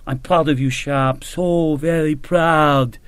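A man voicing a courteous, grey-haired older colonel with a soft, sad manner. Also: on the high side, fairly even intonation, slow pace, clear, resonant tone, dry recording. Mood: gentle.

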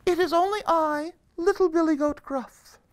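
A man's voice: very high voice, moderate pace, voice pushed up. A man voicing a small, timid young billy goat, pleading in a tiny piping voice in a storybook tale.

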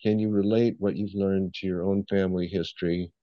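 Deep-voiced man reading questions, dull sound. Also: fairly even intonation, brisk, dry recording. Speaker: interviewer reading audience questions.

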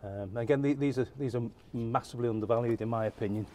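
A middle-aged man giving a relaxed, unscripted walkaround of a classic car for an auction, talking with lots of fillers and restarts in a large indoor warehouse. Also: mid-range voice, quick pace.

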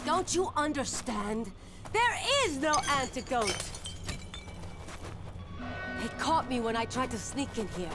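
High-voiced, desperate mutated woman. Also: animated, slow, long pauses, over loud music. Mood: bitter despair. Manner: grave, dramatic, emphatic. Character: desperate, fatalistic mutated woman in a zombie game. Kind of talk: video game cutscene acting.

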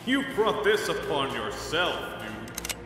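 A man's voice: fairly high pitch, very animated, slow pace, over music. Man acting swooping, taunting cartoon guy in reverb. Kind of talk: comic dub acting.

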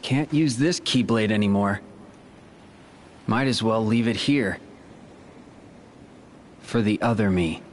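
An actor playing a brooding, caring young warrior in a video game, serious and subdued in manner. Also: male voice, lowish voice, slow, pauses often, a little hiss or hum. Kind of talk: video game cutscene acting.

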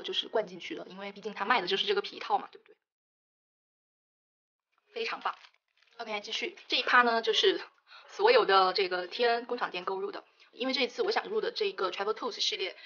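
A woman's voice: medium pitch, a bit bright, highs cut off. A young woman chatting enthusiastically about her stationery haul, mixing into her speech. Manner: chatty, enthusiastic, many fillers. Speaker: stationery vlogger. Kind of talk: casual unboxing narration.

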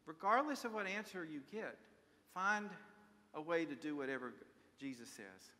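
A man's voice: fairly high pitch, animated, moderate pace, sharp tone. An older man preaching a sermon from a church pulpit, telling stories earnestly and asking rhetorical questions. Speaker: pastor. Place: large church sanctuary at a pulpit. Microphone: pulpit microphone, far camera. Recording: long church reverb.

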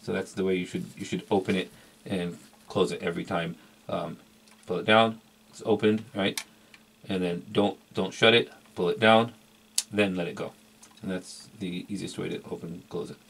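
Low-pitched, gravelly man reviewing gear. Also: fairly even intonation, moderate pace, a bit bright, slight background noise. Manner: hesitant, rambling, repeats words for emphasis. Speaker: vintage electronics collector and reviewer. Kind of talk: casual unscripted product review.

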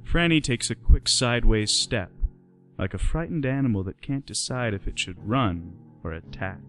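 A man's voice: medium pitch, expressive, normal speed, fairly bright tone, soft background music. A man narrating a radio play in scripted storytelling style.

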